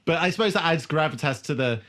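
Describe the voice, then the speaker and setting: A man co-hosting a casual comedy podcast, chatting in a sweary, animated way and often laughing. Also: a little high, quick pace. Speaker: podcast host. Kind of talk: casual comedic chat about a TV show.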